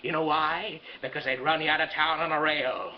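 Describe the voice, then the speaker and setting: A man voicing a cold, cruel old male banker who talks slowly and with contempt. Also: fairly high pitch, steady tone, dull audio, lightly acted. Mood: sneering. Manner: slow, contemptuous, mocking.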